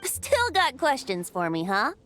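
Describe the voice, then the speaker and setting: Boyish game bard: high, lively, carefree and playful, faint music underneath. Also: female voice, measured pace, exaggerated voice. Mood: breezy. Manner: playful, breezy. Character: playful, carefree youthful male bard with hidden wisdom. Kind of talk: video game character acting.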